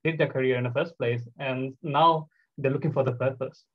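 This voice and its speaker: A man's voice: mid-range voice, fairly even intonation, normal speed. Man host on a dull lo-fi line. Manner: searching, filler-heavy talk with many "like" and "uh". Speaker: podcast host. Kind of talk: casual podcast conversation. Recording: dull lo-fi sound.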